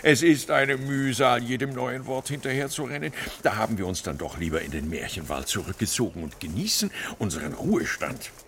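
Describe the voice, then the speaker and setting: A bookish, talkative retired gentleman scholar in a children's radio drama. His voice swings widely in pitch and has a gravelly creak, as he explains things with pedantic relish. Faint noise sits behind him. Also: lively intonation, brisk, few pauses. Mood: learned, playful. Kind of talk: children's radio play acting.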